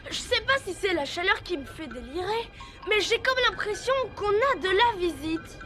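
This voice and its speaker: Chatty cartoon schoolchild, piping very high, expressive and wry, music behind. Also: female voice, normal speed, exaggerated voice. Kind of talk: French-dubbed children's cartoon acting.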